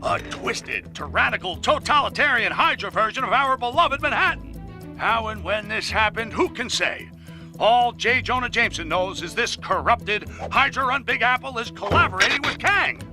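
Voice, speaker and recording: A man's voice: extremely high pitch, expressive, normal speed, bright, forceful tone, soft background music, full character voice. A man playing a blustering middle-aged newspaperman who delivers an exaggerated news report in a pompous, emphatic, theatrical way. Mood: bombastic, indignant. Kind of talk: exaggerated news broadcast commentary.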